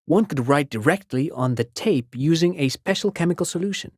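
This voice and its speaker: A man's voice: fairly high pitch, lively intonation, brisk, a little mellow, dry recording, clean studio sound. An actor playing an eager, polite intellectual father in a video game, erudite, genial and given to rambling over-explanation. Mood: eager lecturing. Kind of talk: video game character dialogue.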